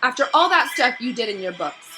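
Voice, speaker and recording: A woman's voice: fairly high pitch, big pitch swings, a little fast, over music, voice pushed up, low-quality recording. A woman voicing a bold, indignant young boy wizard in a storybook, direct and accusing in manner.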